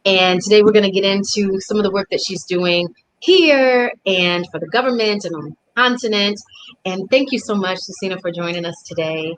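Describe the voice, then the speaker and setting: Female host, mid-pitched voice, friendly, lightly scripted intro with fillers. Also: normal speed, rough recording. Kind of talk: online interview hosting.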